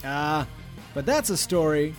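Storyteller narrator woman acting, animated, chummy and dramatic, music underneath. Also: medium pitch, a little slow, natural voice. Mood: chummy, wrapping up. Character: folksy storybook narrator addressing a friend.

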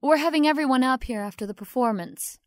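A woman acting ambitious young actress, lightly high voice, sweet surface, poised, self-assured, scheming, radio play, dry close sound. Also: fast, no echo, close to an everyday voice. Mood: eager, confiding. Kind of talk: audio drama acting.

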